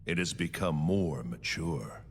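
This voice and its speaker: An actor playing a menacing, taunting older villain of darkness in a video game, with a deep, deliberate, theatrical delivery. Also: male voice, animated, slight background noise, full character voice. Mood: probing, taunting. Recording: long cavernous reverb, faint game music.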